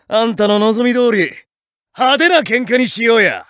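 A brash high-voiced young samurai. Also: male voice, expressive, moderate pace, a bit bright, dry recording, clean studio sound. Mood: swaggering. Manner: cocky, rough Edo-style street talk. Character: brash swaggering young male samurai brawler. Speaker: game character voice. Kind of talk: fighting game voice clips. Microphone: dry studio mic.

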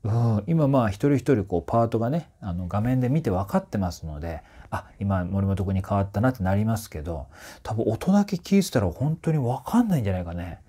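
A man in his forties talks casually to camera like a coach breaking things down. His pitch moves around a lot, and his tone is mellow and a bit husky. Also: fast, hardly any pauses. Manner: animated, often sings short phrases to demonstrate. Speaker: voice trainer reviewing singers. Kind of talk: casual explanatory commentary to camera. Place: small indoor room with curtains.

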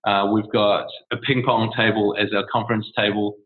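A man's voice: on the low side, normal speed. A man, a startup co-founder giving long, easygoing interview answers with frequent restarts. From New Zealand. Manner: relaxed and discursive, with many restarts and fillers. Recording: tinny phone-like line.

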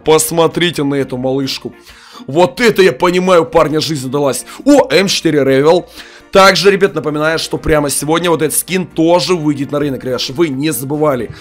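Excitable young man with a headset, slightly sick. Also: fairly high pitch. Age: young adult. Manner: hyped, exclaims in amazement, pushes likes and promo codes. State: sick, voice a little off. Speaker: mobile game youtuber. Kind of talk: excited gaming video commentary. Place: small room at home, indoors.

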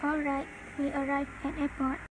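High-voiced chatty taxi driver played by a woman. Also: monotone, moderate pace, natural voice, poor audio. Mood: friendly. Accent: Malaysian. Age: young adult. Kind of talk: amateur student audio drama. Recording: amateur recording, clear background noise.

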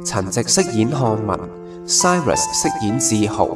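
A man's voice: on the low side, very animated, a little slow, far from the mic, over music. A man reading scripted credits and promotional announcements as an announcer.

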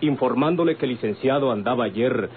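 A man's voice: medium pitch, expressive, fairly quick, narrow, tinny audio, natural voice. An actor playing an earnest, polite man in a vintage radio drama, explaining formally and insistently with a Mexican accent. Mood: earnest, serious. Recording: thin, hissy old radio recording.

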